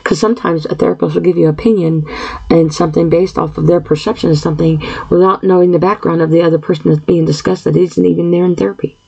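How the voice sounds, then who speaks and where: A woman in her fifties speaking an earnest, halting personal monologue, often clearing her throat and pausing on fillers. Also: fairly low pitch, fairly even intonation, mellow, lo-fi sound. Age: 50s.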